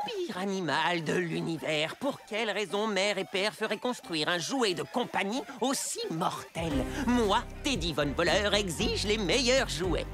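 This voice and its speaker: A woman voicing a bratty, scheming boy villain in a French cartoon dub, haughty and melodramatic in delivery. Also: mid-range voice. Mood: scornful, demanding. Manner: pompous, melodramatic declamation. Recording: loud music behind the voice.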